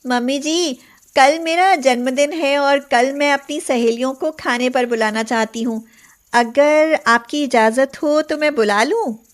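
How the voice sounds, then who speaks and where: A woman's voice: high voice, a little slow. A woman playing a young, sly yet sweetly deferential daughter-in-law in an acted moral story. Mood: sweet, polite.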